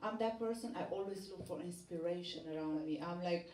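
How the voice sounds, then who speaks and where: A woman's voice: on the low side, a little fast, darkish tone. A woman from Romania with a Romanian accent, speaking English as her second language as a guest on a talk show, hesitant with many fillers and restarts. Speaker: model and magazine guest. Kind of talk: studio talk show interview. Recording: echoey studio, distant mic, background noise.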